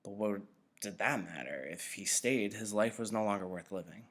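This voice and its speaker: A teacher reading a novel aloud, narrating in a steady, even way. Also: male voice, lowish voice, normal speed.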